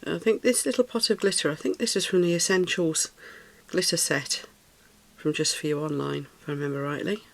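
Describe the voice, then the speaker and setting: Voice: medium pitch, brisk, gravelly, fairly bright tone. A British resin craft tutor who sounds a little snuffly and chats while working, thinking aloud and cooing over the materials. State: slightly snuffly, recovering from a cold. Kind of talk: casual hands-on craft tutorial.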